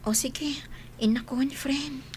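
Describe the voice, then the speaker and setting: An actress playing a meek, grateful young waitress in a radio drama, speaking politely and hesitantly. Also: female voice, high voice, fairly even intonation, slow pace. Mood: worried.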